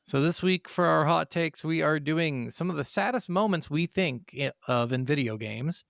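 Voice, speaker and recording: A man co-hosting a podcast, chatting casually about video games in an animated, sweary, slangy way. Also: on the high side, moderate pace, creaky, dry recording, studio quality. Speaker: podcast co-host.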